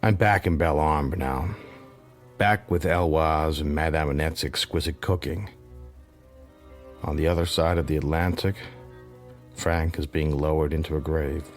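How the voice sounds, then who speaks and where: An actor playing a haunted, introspective man who narrates his dark private thoughts in an intimate first-person radio drama. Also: very deep voice, fairly even intonation, unhurried, long pauses. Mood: numb, grieving. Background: music.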